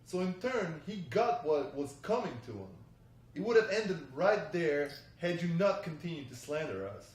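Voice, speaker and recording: A stern, menacing gang leader read by a man with a fairly high voice. He talks deliberately and guardedly in a drama table read, off the mic in a reverberant room. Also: animated, natural voice. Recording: echoey room, distant mic.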